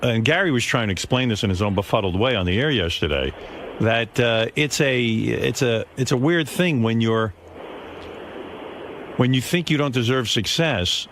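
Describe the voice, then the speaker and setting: A man's voice: mid-range voice, lively intonation, moderate pace, a bit bright, slight background noise. An American man in middle age talking off the cuff as a radio host, in a blunt, conversational way. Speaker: radio talk show host. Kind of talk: unscripted morning radio talk.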